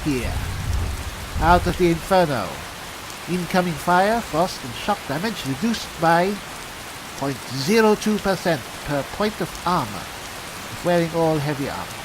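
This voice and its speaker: A man doing in-character roleplay commentary on a game, musing haltingly as if talking to himself. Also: on the high side, lively intonation, background noise. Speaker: gameplay host, player in character. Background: rain and thunder from the game.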